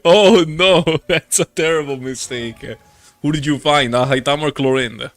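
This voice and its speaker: A man's voice: a little high, normal speed. A young man livestreaming a game, chatting casually with viewers in a slangy, profane, jokey way. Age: young adult. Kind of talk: casual stream chat and game reactions.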